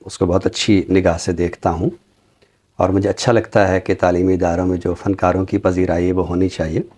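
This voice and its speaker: A man with a fairly low voice discusses as an academic guest. His phrasing is formal and measured, with deliberate pauses between short phrases. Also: mellow.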